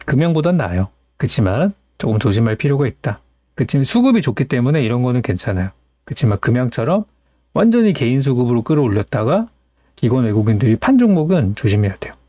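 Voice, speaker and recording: A man's voice: mid-range voice, warm tone, dry recording. A man hosting as a stock market analyst, explaining things in an informal, conversational commentary style.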